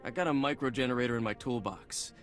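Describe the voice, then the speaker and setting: A man's voice: mid-range voice, quick pace, soft background music, lightly acted. A man playing an eager, practical refugee in a video game.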